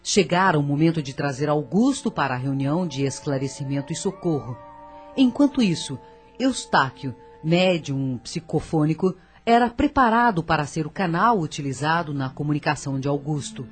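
A woman's voice: sits low, expressive, normal speed, breathy edge, soft background music. A woman narrating a scripted radio drama in a solemn, formal storytelling manner.

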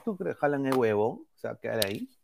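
Gravelly man, blunt and swearing. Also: mid-range voice, sing-song, fast, a little hiss or hum. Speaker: co-host, football fan.

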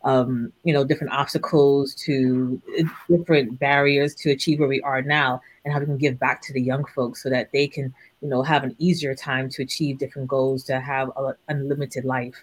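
A man speaking as a panel guest, thoughtful and measured as he describes his work. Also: mid-range voice, little pitch movement, no room sound, low-quality recording. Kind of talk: panel discussion.

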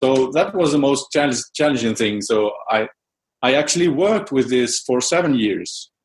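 A man's voice: mid-range voice, expressive, moderate pace, poor audio. Hesitant Dutch-native man, mid-range voice. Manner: hesitant, many fillers and restarts. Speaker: music researcher, interview guest. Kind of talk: casual interview answers.

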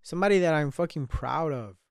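A man's voice: fairly high pitch, brisk, vocal fry, dry recording, clean studio sound. A man in his early twenties hosting a solo podcast, speaking candidly, swearing often and trailing off into restarts. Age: 20s. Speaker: podcast host. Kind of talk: solo reflective podcast monologue.

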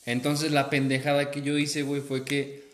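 A man's voice: fairly high pitch, completely flat delivery, moderate pace. Young mexican guy hosting a chat over drinks, curses a lot, roomy echo. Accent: Mexican Spanish. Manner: slangy, swears a lot, says güey constantly. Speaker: podcast host. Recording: echoey room.

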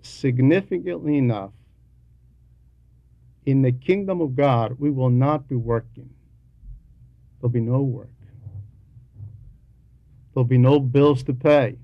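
A man preaches a homily at a slow, measured pace. His delivery is deliberate and emphatic, leaning on repeated phrases as he teaches. His voice sits at a medium pitch in a dry, close sound. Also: creaky, no echo, muffled recording. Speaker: homilist reading a translated homily.

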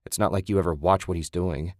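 An angry husband barking accusations at his wife, as a male narrator puts him on. His voice is low and his delivery curt and bitter. The recording is dry and close, with no room around it. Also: steady tone, quick pace, dry recording, lightly acted. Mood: accusing. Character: hot-tempered, accusing husband obsessed with his car.

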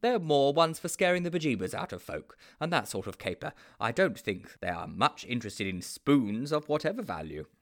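A man's voice: mid-range voice, big pitch swings, normal speed, hardly any pauses, pitched above the performer's own voice. A man voicing a self-important, adventurous would-be detective in a storybook, explaining things in a pompous, emphatic way. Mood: pedantic. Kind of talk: storybook character voice.

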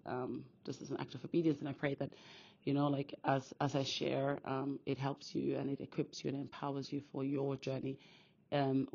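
A woman from Austria hosting a podcast, sharing her faith in an earnest, quick, casual monologue full of restarts. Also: a bass voice, gravelly, darkish tone. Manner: earnest, talks fast with many restarts. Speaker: podcast host. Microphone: phone. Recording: dull phone recording.

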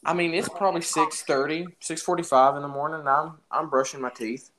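A man's voice: fairly high pitch, steady tone, brisk, background noise. A young man casually telling an anecdote in an informal, jokey, exaggerating way. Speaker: storyteller in a podcast-style phone chat.

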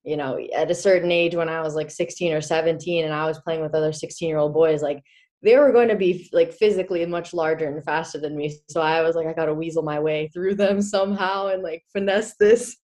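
A young woman with a low voice answers interview questions in a relaxed, chatty way. She laughs often and her talk is full of easygoing fillers. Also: a little fast. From Czech Republic. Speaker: guest, hockey player. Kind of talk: casual interview.

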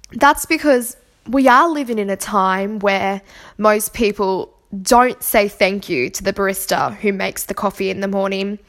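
A woman hosting a solo podcast, talking earnestly and reflectively while nursing a cold and sore throat. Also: mid-range voice. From Australia. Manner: earnest, reflective, gently encouraging. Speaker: podcast host. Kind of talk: solo podcast monologue on kindness.

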